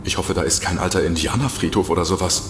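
An actor playing a nervous, reluctant investigator in an audio drama, hesitant and stammering. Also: male voice, low-pitched, quick pace, music in the background, close to an everyday voice. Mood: curious, wary. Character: nervous, reluctant male investigator, easily spooked. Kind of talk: German audio drama acting. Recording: echoey reverberant room with background noise.

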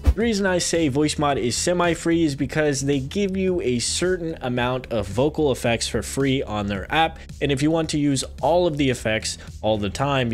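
A man in his thirties hosting a software tutorial, speaking in a friendly, direct way to viewers into a close microphone. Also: mid-range voice. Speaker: video tutorial host. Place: small room indoors at a desk. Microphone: close desk microphone with headset on.

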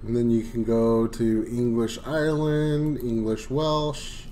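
A man hosting a casual screen-recorded software tutorial, talking loosely with lots of fillers and enthusiastic asides. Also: medium pitch, level tone, slow, slight background noise. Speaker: tutorial host.

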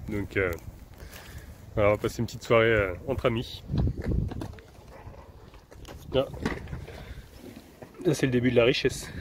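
A man in his thirties, filmed at arm's length on a phone, strolls outdoors and talks to his viewers in an easygoing, rambling way, often pausing to search for the next word. His voice sits at an ordinary middle pitch, and a faint wind noise runs behind him. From France. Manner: relaxed, chatty, talks to his dog and viewers, frequent hesitations. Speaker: vlogger. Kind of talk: casual walking vlog. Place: outdoors in town streets and a field, then inside a camper van. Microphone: handheld phone camera at arm's length.

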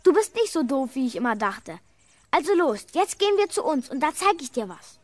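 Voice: sits high, very animated, fairly quick, no room sound. An actor playing a bossy, boastful older schoolboy in a children's radio play, goading in manner. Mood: bossy, scheming. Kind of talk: 1970s German children's radio play acting.

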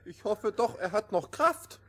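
Hoher, frecher Reimeschmied. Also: male voice, high voice, lively intonation, measured pace, a bit bright, husky, full character voice. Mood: gleeful, teasing. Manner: sing-song rhyming couplets, teasing. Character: cheeky rhyming companion in a fairy tale. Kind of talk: radio play fairy tale acting.

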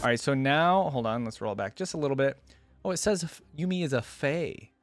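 A man's voice: mid-range voice, expressive, fairly quick. A young man giving casual reaction commentary into a close desk mic, often reading text aloud. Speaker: reaction video host. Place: indoor gaming setup. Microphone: desk microphone close to the speaker. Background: game video music.

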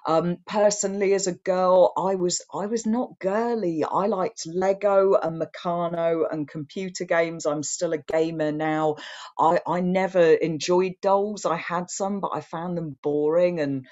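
Earnest woman on a video call, slightly hoarse. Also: lowish voice, steady tone, measured pace, darkish tone, lo-fi sound. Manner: earnest, frequent restarts. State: getting hoarse. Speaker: guest speaker. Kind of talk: online talk answering comments. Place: living room at home. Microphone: webcam on video call.

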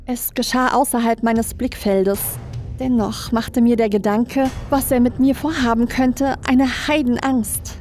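A woman's voice: on the high side, steady tone, unhurried, darkish tone. A woman reading scripted first-person horror narration as a creepypasta narrator. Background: background music.

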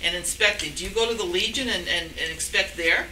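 A woman's voice: medium pitch, fairly quick, pushed and bright. A woman arguing firmly and challengingly as a board member at a public meeting. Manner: firm, challenging. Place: municipal meeting room. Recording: echoey, noisy room, distant microphone.

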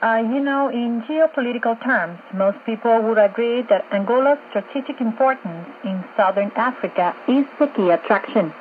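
A woman's voice: fairly high pitch, moderate pace, few pauses. A woman working as a radio journalist, giving formal, explanatory scripted commentary over shortwave. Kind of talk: scripted informative radio discussion. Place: radio studio, heard over a shortwave receiver. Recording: tinny shortwave radio with faint static.

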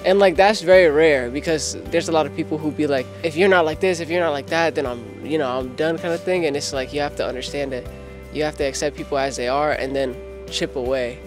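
Earnest young college guy from Rhode Island, slightly raised pitch. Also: quick pace, music in the background. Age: young adult. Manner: earnest, reflective. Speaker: student. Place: outdoors on campus.

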